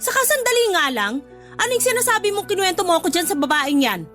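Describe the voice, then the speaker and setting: Bossy sarcastic big sister in a radio drama, super high sharp voice, scolds everyone. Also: female voice, sing-song, fast, faint music behind, full character voice. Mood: irritated, scolding. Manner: confrontational, sarcastic. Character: blunt, sharp-tongued older sister, aged thirty, scolding. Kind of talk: Tagalog radio drama acting.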